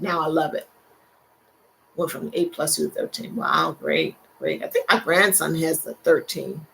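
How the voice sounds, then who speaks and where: Gravelly older woman hosting a chatty livestream. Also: low-pitched, poor audio. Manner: chatty, laughs often, repeats phrases. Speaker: livestream host. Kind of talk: casual live chat reading viewer comments.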